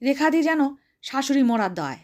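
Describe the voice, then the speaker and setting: A bossy, stout older woman, as a female storyteller plays her, with a high voice and a sharp tongue. She scolds brashly in homely colloquial speech, ordering people about with rough affection. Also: sing-song, normal speed. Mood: impatient, commanding.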